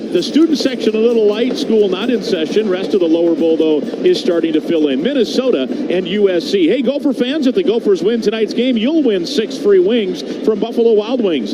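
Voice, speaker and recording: High-pitched male sportscaster over loud noise. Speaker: radio sportscaster. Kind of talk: basketball pregame broadcast with live sponsor reads. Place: inside a basketball arena. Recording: loud arena noise behind.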